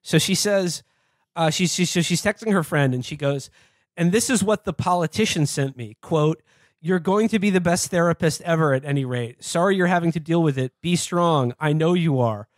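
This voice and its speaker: Male podcast host, casual comic read-aloud delivery, slightly higher register, dry close sound. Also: normal speed, no echo. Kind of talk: casual comedic podcast talk, reading aloud texts.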